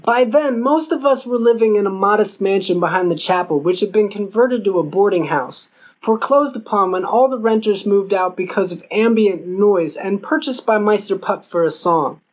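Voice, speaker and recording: A woman's voice: medium pitch, normal speed, darkish tone. Female audiobook narrator, scripted first-person fiction, mid-range voice, slightly muffled lo-fi recording. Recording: dull lo-fi audio.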